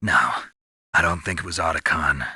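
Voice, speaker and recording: A man playing a gruff, sardonic, tough covert-ops soldier in a video game, with a gravelly voice and terse, wry delivery. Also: extremely low pitch, fairly even intonation, moderate pace, bright, forceful tone, dry recording, studio quality, exaggerated voice. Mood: grim, determined. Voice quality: gravelly, raspy.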